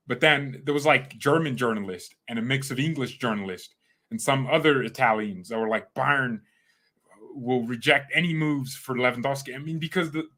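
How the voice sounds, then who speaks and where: Rambling male livestreamer with loud outbursts. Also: mid-range voice. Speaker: fan channel host. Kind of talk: casual livestream chat with viewers.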